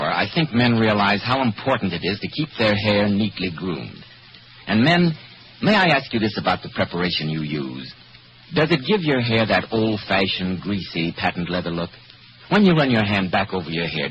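A man with an American accent announcing and selling in polished, emphatic 1940s radio style. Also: fairly low pitch. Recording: dull, noisy 1940s radio recording.

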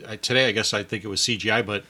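A man's voice: fairly low pitch, fairly even intonation, rapid-fire, pushed and bright. A man in a casual chat who keeps asking curious questions.

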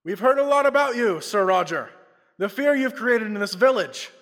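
A man's voice: high voice, expressive, normal speed, fairly bright tone. A man playing a bold, suave crime-fighting investigator in an old-time radio drama, speaking with theatrical confidence. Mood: bold, urgent. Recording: long reverb with faint music.

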